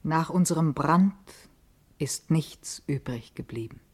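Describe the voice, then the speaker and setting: Slow, grave, resolute woman. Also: low voice, darkish tone, slightly rough, heavily acted. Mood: desolate. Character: grave, resolute soul musing on ageing and time. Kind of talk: literary radio play monologue.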